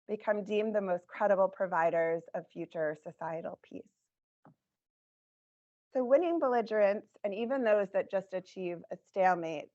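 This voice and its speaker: A woman presenting her research in a measured academic talk, her voice scratchy, with frequent ums. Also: mid-range voice, a little mellow. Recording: dull lo-fi recording.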